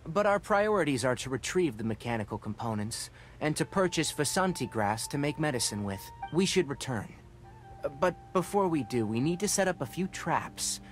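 A calm, scholarly fox-eared ranger. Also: male voice, a little high, faint music behind. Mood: calm, analytical. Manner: measured, explanatory, gently firm. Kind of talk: game voice acting.

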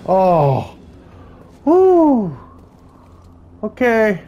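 A man hosting a casual game playthrough, musing aloud in a halting, rambling way. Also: high voice, wildly swooping pitch, highs cut off, a little hiss or hum. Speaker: gaming channel host. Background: game music.

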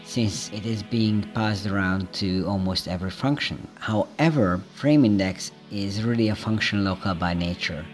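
A low-pitched man talks through a coding exercise in a calm, methodical voice-over, explaining each step as he goes. Soft music plays faintly beneath his narration. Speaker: programming tutor. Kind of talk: scripted screencast narration. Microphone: voice-over over screen recording.

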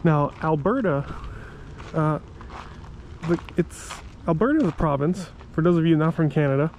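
A man's voice: fairly high pitch, moderate pace, a little mellow, a little hiss or hum, rough recording. A man narrating a walking tour of a city park outdoors as a travel vlogger, talking enthusiastically and correcting himself as he goes. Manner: enthusiastic, rambling with self-corrections.

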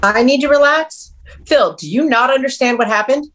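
A woman's voice: high-pitched, moderate pace, fairly bright tone. A woman playing a firm, exasperated mother who protects her son, insistent in a script table read. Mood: angry. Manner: confrontational, insistent. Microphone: video call.

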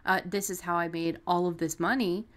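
Low-pitched woman, blunt casual co-host. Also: fairly even intonation, brisk, fairly bright tone. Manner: blunt, salty-tongued. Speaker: podcast co-host. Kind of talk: casual unscripted discussion.

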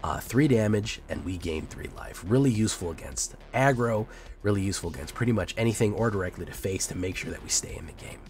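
A man's voice: on the low side, lively intonation, quick pace, a little mellow, slight background noise. A man hosting a casual card-game deck breakdown, upbeat and hammy, his voice a little off from being sick. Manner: upbeat, hammy sign-off banter. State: sick, voice sounds off. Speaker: host.

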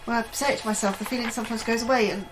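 A woman playing an anxious, higher-voiced scientist. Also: steady tone, racing, music in the background, close to an everyday voice, rough recording. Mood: anxious, confiding. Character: anxious, troubled young woman scientist confiding her fears. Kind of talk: audio drama acting, diary-like monologue and dialogue.

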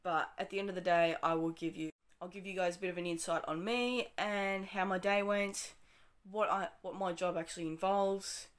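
A young Australian woman with a slightly croaky morning voice chats through her routine, rambling and correcting herself as she goes. Her voice sits a little low for a woman. She is recorded on a phone in a small bedroom. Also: fairly even intonation, moderate pace. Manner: chatty, rambling, self-correcting with frequent ums. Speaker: vlogger. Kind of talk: casual day-in-the-life vlog. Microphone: phone camera, handheld or propped up.

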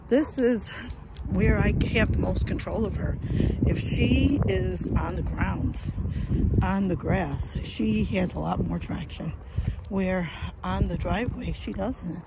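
Creaky-voiced older woman outdoors in loud noise. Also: medium pitch. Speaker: vlogger. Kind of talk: casual rambling narration to family while walking a dog. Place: outdoors on a rural driveway on a windy day. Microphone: handheld phone.

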